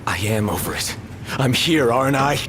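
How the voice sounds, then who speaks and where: An actor playing a moody, defensive young prince in a video game, sullen and volatile in manner. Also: male voice, medium pitch, expressive, moderate pace, over music, close to an everyday voice. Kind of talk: dramatic video game acting.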